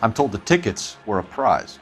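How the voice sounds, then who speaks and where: An actor playing a righteous, driven American police detective in a 1940s video game, earnest, clipped and official. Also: male voice, fairly low pitch, very animated, normal speed, soft background music. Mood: probing, official. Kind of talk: cinematic video game acting.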